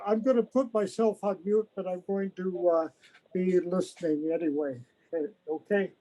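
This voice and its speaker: A man's voice: high-pitched, fairly even intonation, moderate pace, mellow, low-quality recording. A man chatting casually as a club member in an online meeting, with repeated short affirmations. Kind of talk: casual chat. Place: remote video meeting.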